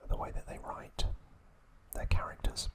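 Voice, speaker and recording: A young man hosting ASMR in a breathy, unvoiced whisper, halting and hushed, close to the mic. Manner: slow, hushed, halting with long pauses. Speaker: host of an ASMR channel. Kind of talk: ASMR, whispered informal trivia talk.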